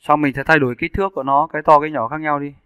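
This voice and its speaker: A man giving a casual, step-by-step software tutorial as an instructor, speaking informally into a close mic. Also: fairly high pitch, steady tone, very fast, smooth, no echo. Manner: informal, instructing step by step with short check-in questions. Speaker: 3D animation instructor.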